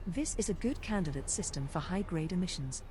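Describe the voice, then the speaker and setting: A woman's voice: fairly low pitch, lively intonation, brisk, noisy background, natural voice. A woman voicing a precise, helpful spaceship computer copilot in a game, giving articulate status reports. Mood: precise, informative. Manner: articulate, informative. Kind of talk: scripted status announcements.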